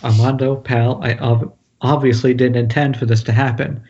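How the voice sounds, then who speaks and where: A man's voice: medium pitch, fairly even intonation, normal speed, mellow, lightly acted. A man playing a stubborn yet sheepish film director in an amateur table read over an internet call. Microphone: internet video call. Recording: dull, noisy internet call, clicks.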